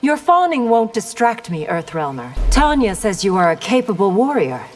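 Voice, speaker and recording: Proud female commander played by a woman, lively intonation, haughty, formal, assured, reverberant, video game. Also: medium pitch, normal speed, a little hiss or hum, natural voice. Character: proud, confident female army commander of great age. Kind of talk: video game character dialogue. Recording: long reverb.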